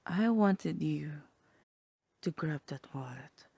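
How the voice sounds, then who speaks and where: Low, husky, sly demon voiced by a woman. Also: animated, takes it slowly, dark tone, no echo, exaggerated voice. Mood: teasing, coaxing. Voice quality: low, husky, half-whispered. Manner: languid, wry, teasing. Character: sly, teasing demon tempting a human toward hell. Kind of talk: ASMR roleplay voice acting.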